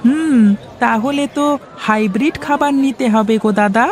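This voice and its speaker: A man's voice: very high voice, moderate pace, a faint crowd. A man voicing a sly, money-minded village shopkeeper who wheedles and haggles in a moral-story cartoon. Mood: businesslike.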